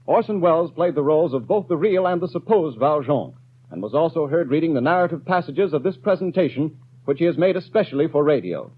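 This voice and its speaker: A man's voice: on the high side, animated, husky. Tinny vintage American radio announcer, male. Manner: formal, measured. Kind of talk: scripted 1930s network announcing. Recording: tinny, phone-like 1930s radio broadcast.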